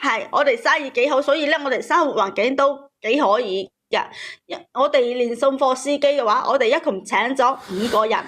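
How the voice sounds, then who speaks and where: A woman's voice: high voice, fairly quick, a bit bright. A woman calling in to tell a personal story, repeating phrases for emphasis. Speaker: caller telling her story. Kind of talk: emotional personal storytelling to a host.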